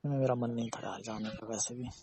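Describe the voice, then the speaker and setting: A man of middling pitch speaks in a mellow, gravelly and husky voice. He chats casually and intimately with a partner on the phone, coaxing and reassuring as he goes. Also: steady tone, fairly quick. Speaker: romantic partner on a phone call.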